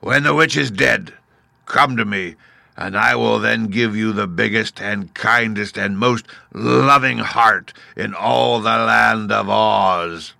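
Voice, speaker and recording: Guy doing a huge roaring beast, slow gruff booming, kinda hollow. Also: pushed and bright, no echo, full character voice. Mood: commanding. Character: huge roaring five-eyed male beast.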